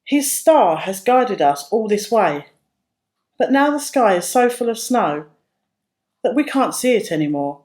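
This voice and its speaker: A woman's voice: medium pitch, big pitch swings, measured pace, a little mellow. A woman voicing a courteous, earnest young man, a royal traveller in a storybook tale. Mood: puzzled, earnest. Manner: polite, earnest.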